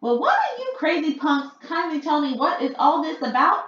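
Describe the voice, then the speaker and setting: A woman voicing a demanding, bratty young boy who whines and shouts. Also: very high-pitched, wildly swooping pitch, unhurried, pitched above the performer's own voice, exaggerated voice. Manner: whiny and shouting. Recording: dull echoey home recording.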